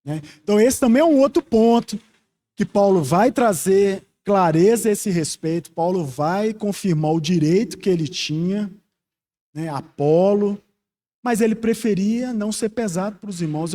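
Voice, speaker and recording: High-pitched Brazilian male preacher. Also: expressive, normal speed, mellow. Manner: earnest, reflective, rhetorical questions to the congregation. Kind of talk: expository Bible teaching sermon.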